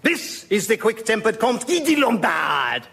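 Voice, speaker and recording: An actor playing a grand, pompous French philosopher with an exaggerated French accent in a radio comedy sketch. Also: male voice, high-pitched, huge pitch swings, a little slow, bright, forceful tone, breathy edge, full character voice. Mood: courtly, pompous. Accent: exaggerated put-on French. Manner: flamboyant, florid, pompous.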